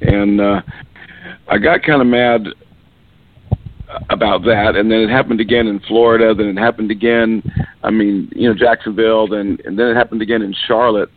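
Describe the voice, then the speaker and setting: A middle-aged man speaks with a raspy, gravelly voice that sits low. He talks as a guest in a loose, rambling way, full of fillers. The sound comes dry and tinny down a phone line. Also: dry recording. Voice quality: raspy voice after illness. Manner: relaxed, rambling, many fillers. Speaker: musician guest. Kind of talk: phone interview on radio.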